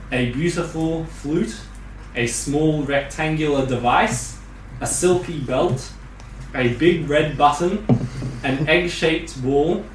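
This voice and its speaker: A man's voice: on the high side, echoey room, distant mic, a little hiss or hum, low-quality recording. A man narrating and describing scenes as game master of a tabletop game. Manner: descriptive storytelling, laughs with players.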